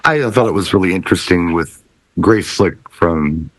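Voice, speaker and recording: A man's voice: low voice, lively intonation, normal speed, no echo, rough recording. A middle-aged man chatting casually and wryly about music as a panelist on a talk show.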